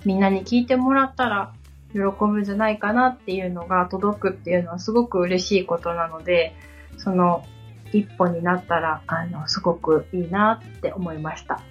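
A woman in her thirties chatting on a podcast as the assistant, polite, halting and reflective. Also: on the low side, steady tone, husky. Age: 30s. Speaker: podcast assistant and guest learner. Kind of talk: casual podcast conversation. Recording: dull lo-fi sound with background music. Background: background music.

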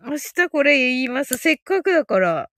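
A woman's voice: sits high, sing-song, normal speed, fairly bright tone, dry recording, studio quality. A woman hosting a casual live audio stream, chatting playfully with listeners, giggly and often repeating phrases with amusement. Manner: giggly, breaks into frequent laughter.

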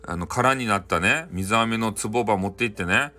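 A man with a Hakata dialect informally telling a bedtime folktale in a folksy, conversational way with halting phrases. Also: lowish voice, sharp tone. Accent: Hakata, Japan. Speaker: storyteller.

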